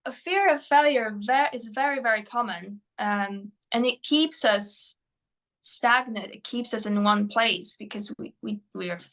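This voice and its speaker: Polish lady coach, bright voice, lots of um and uh, stops and restarts a lot. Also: a little high, measured pace. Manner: hesitant, many fillers and restarts. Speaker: mental performance coach, guest. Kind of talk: podcast interview answers.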